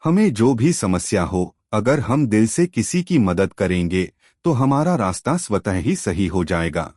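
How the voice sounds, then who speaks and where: Man reading as a slow-spoken, wise older mother-in-law. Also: fairly low pitch, very animated, darkish tone, no room sound, clean studio sound. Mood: calm advising. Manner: patient, advising, moralising.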